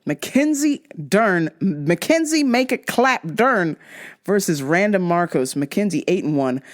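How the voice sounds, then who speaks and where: A woman hosting a casual podcast, giving fight picks in a crude, joking, animated way. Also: lowish voice, a little slow. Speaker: podcast host.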